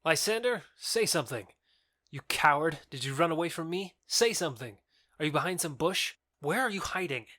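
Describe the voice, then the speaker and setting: A man's voice: on the high side, lively intonation, normal speed, sharp tone, dry recording, clean studio sound. A man playing a belligerent young lover challenging his rival, in theatrical, indignant audio drama style. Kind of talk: audio drama acting of modernized Shakespeare.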